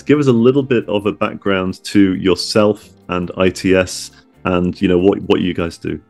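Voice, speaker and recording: Male interviewer for a podcast, slightly deep, chatty and wordy. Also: darkish tone. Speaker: podcast host. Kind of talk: conversational interview.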